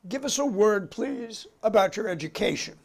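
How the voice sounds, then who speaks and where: A man's voice: sits high, expressive, moderate pace, vocal fry. A man playing a formal, insistent committee counsel who questions a witness courteously in a dramatic reading. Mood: formal, probing. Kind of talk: dramatic reading of a hearing transcript.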